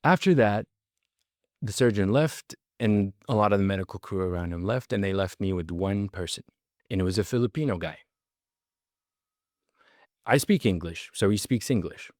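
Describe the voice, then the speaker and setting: A man's voice: lowish voice, animated, normal speed, pauses often, darkish tone, no room sound, studio quality. A man giving an earnest personal testimony in a monologue, repeating phrases and drawing out key words for emphasis. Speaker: storyteller recounting his life.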